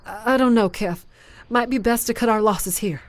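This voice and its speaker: A woman playing a rough-spoken, cowardly bandit in a fantasy visual novel. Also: on the high side, moderate pace, no room sound, natural voice. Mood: nervous. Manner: colloquial, rough grammar.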